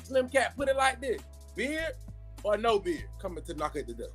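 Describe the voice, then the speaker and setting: A man's voice: high-pitched, sing-song, moderate pace, breathy edge, soft background music. A man in his early thirties bantering casually as a panelist, insistent and repeating his phrases. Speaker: talk show panelist.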